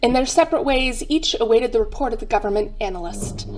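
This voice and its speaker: A woman's voice: a little high, animated, fast talker, a little mellow, faint music behind, lightly acted, low-quality recording. A woman playing a knowing, dry narrator of a radio crime drama. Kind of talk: scripted old-time radio narration.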